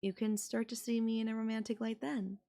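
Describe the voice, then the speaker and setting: A woman's voice: a little high, level tone, a little fast, vocal fry, mellow. A woman playing a manipulative young babysitter who is obsessively in love with her employer, speaking in a conversational, possessive and defensive way in an intimate audio roleplay. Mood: hurt, subdued. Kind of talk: anime-style audio roleplay acting, intimate one-to-one.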